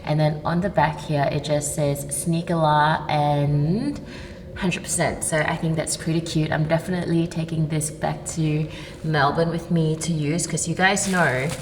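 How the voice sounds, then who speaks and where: A woman's voice: low-pitched, fairly even intonation, a little slow, slight background noise. A woman from Malaysia chatting casually and enthusiastically to camera as a vlogger.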